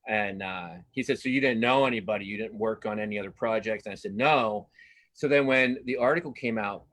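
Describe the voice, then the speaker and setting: A man's voice: lowish voice, brisk, a bit bright. A man chatting casually as an interview guest, informal and self-deprecating. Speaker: guest cartoonist.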